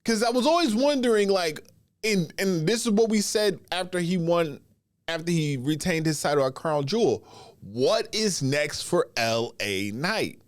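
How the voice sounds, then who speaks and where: Congested man giving lively wrestling commentary. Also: a little high, no echo, studio quality. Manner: animated, drawn-out pauses between phrases. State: congested, getting over a cold. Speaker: wrestling podcast host. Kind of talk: casual solo commentary.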